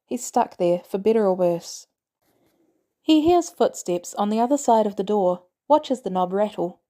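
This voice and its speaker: Mellow woman narrating fiction. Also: medium pitch, lively intonation, moderate pace. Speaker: audiobook reader.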